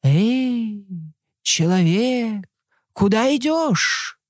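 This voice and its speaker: Woman voicing a slow, grumbling oak tree. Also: a little high, lively intonation, no echo, clean studio sound, pitched above the performer's own voice. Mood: slow, calling.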